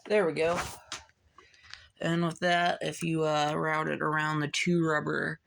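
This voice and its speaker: Creaky-voiced hobbyist talking through a repair. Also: medium pitch, level tone, a little slow, a bit bright. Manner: informal, muttering asides while working. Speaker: hobbyist repairer showing a fix. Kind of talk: casual hands-on repair narration.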